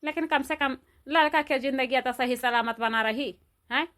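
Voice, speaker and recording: A woman voicing an excitable, complaining, gossipy village woman in a cartoon, exclaiming and repeating herself in an Awadhi accent. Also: high-pitched, moderate pace. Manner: repetitive, exclamatory. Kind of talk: amateur cartoon voice acting.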